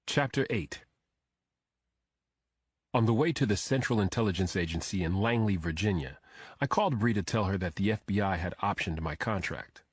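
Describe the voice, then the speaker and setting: A man's voice: low-pitched, normal speed, husky, no room sound, clean studio sound. A man reading a scripted thriller audiobook as its narrator.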